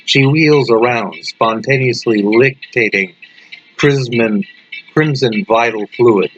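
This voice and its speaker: A man reading a horror story as scripted narration, deliberately, with dramatic pauses. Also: mid-range voice, husky. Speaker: story reader. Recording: dull lo-fi recording with loud background noise.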